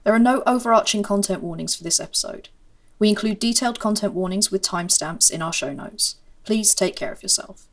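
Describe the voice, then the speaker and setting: Calm woman reading a show intro. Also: medium pitch, a little fast. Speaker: podcast intro reader.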